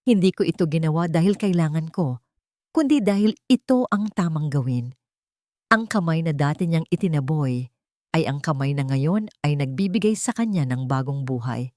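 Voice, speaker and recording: A woman with a slightly low voice narrates a scripted drama in the first person. The recording is dry, with very little room sound, and the delivery is that of a dramatic storyteller. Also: animated, normal speed, dark tone, no echo.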